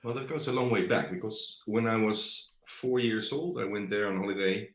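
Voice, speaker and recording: A very low-voiced man from the Netherlands with a clear Dutch accent, speaking English slowly and reaching for words. His voice rings a little in an echoey room. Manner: hesitant, searching for words, many fillers. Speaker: guest. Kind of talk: conversational interview.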